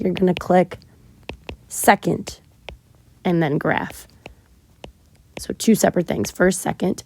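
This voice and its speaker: A woman teacher, sick and hoarse, patiently walking her students through math homework step by step with frequent ums. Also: medium pitch, warm tone, dry recording. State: sick, partly lost voice. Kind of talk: recorded math lesson walkthrough.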